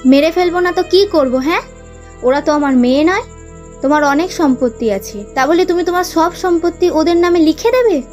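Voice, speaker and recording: A woman's voice: extremely high pitch, brisk, darkish tone. A woman voicing a cruel, greedy stepmother ghost in a horror cartoon, defiant and unrepentant. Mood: spiteful, defiant. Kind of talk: dramatic horror cartoon villain acting. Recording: music underneath the voice.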